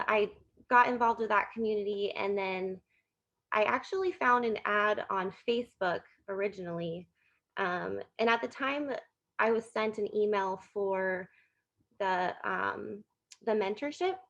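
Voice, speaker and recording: A woman's voice: medium pitch, creaky, fairly bright tone. A young American woman giving a casual, conversational testimonial on slightly muffled, lo-fi audio. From Florida, United States.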